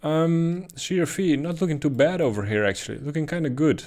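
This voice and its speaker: A man's voice: a little high, a little fast, a little mellow. A man with a Dutch accent giving casual, thinking-aloud commentary on price charts, with frequent ums and uhs. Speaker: crypto chart analyst.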